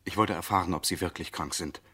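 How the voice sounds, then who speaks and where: An actor playing a calm, insinuating eyewitness in a crime radio drama, speaking in a measured, deliberate way. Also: male voice, low voice, slightly rough, heavily acted. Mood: accusing, controlled. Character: calm, insinuating male eyewitness Gachet confronting a suspect.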